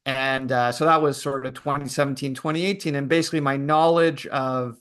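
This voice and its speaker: A man answering interview questions at a mid-range pitch. He talks in a relaxed, reflective way, pausing on fillers as he thinks. He comes across as an author talking through his story. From Canada. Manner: relaxed, thoughtful, many fillers. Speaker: podcast guest, author.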